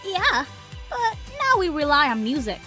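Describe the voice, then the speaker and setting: A woman voicing eager, plucky teenage high school girls in a cartoon story. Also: big pitch swings, unhurried, sharp tone, faint music behind, full character voice. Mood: confident, eager.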